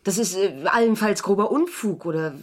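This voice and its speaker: An actress playing a level-headed, sceptical young lawyer in a German radio crime drama, matter-of-fact and quick to retort. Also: female voice, medium pitch, natural voice. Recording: vintage radio play studio recording.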